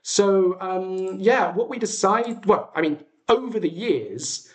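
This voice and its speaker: A man's voice: high-pitched, expressive, slow pace. A British man chatting casually as a livestream host, telling stories in a hesitant way and often interrupting himself. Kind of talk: casual livestream chat, storytelling.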